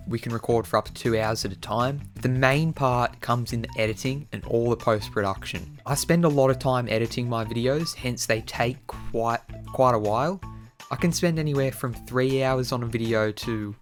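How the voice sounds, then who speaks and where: A man speaks in a relaxed, conversational way, like a video creator chatting directly to his audience. His voice sits at an average pitch for a man, and music plays behind him. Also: normal speed. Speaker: YouTube creator answering viewer questions. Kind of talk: casual chat to viewers.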